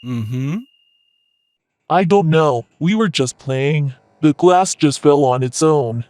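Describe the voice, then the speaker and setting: A man voicing an animated, high-pitched cartoon schoolboy. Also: slow pace, dry recording. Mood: evasive. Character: Bob, cocky young schoolboy who loves video games. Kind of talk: children's cartoon story acting.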